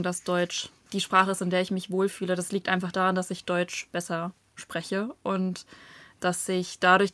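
A young woman from Germany, a novelist guest, talking thoughtfully in an interview with searching pauses and fillers. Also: lowish voice, a bit bright, no echo. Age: young adult. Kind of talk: reflective interview talk.

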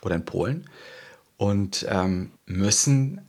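A man answering interview questions in a thoughtful way, often pausing and searching for words. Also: lowish voice, expressive, darkish tone. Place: Berlin.

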